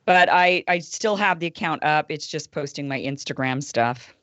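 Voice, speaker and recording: A woman's voice: moderate pace, dry recording, highs cut off. Chatty, dry-sounding woman guest. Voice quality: fairly deep voice. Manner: relaxed, chatty, lots of fillers and self-corrections. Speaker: guest, online show host. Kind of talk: casual chat.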